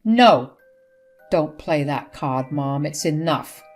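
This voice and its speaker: A woman's voice: sits low, expressive, takes it slowly, soft background music, heavily acted. A woman voicing an angry adult son who speaks bluntly and firmly. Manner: blunt and firm.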